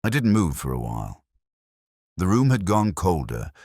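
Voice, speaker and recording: A man performs a solemn detective inspector recounting a mystery in the first person. The voice is exceptionally deep, yet it rises and falls noticeably through deliberate, literary sentences. The sound is close and dry, and the temper stays brooding and measured. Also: sing-song, darkish tone, no echo. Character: brooding, measured male detective inspector narrating his case. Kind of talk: first-person scripted mystery narration, audiobook-like.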